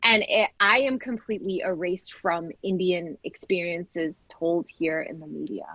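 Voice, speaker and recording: A woman's voice: fairly low pitch, measured pace, bright, forceful tone, no room sound. Woman, Telugu first language, panelist, frank, emphatic, dry, dull lo-fi call audio.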